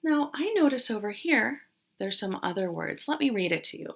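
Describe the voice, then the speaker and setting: A woman's voice: medium pitch, big pitch swings, moderate pace, vocal fry, a bit bright, slightly rough. A woman speaking as a kindergarten teacher in a read-aloud lesson, explaining things simply to young children and often asking them questions.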